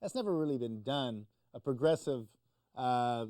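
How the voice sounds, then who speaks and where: A man's voice: mid-range voice, expressive, moderate pace, warm tone, no room sound, studio quality. A man explaining the news conversationally as a talk show commentator, in a hesitant, informal way.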